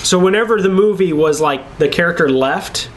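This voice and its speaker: A man with a slightly high voice chats informally as a show co-host. He sounds easygoing and unscripted, talking in loose conversational phrases. There is light noise behind him. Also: moderate pace. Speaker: co-host of a video game and movie talk show. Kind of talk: casual unscripted chat. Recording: clear background noise.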